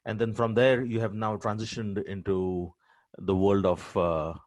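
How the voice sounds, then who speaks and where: Deep-voiced podcast host man. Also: level tone, darkish tone, dry recording. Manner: halting, filler-heavy questions. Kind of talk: podcast interview.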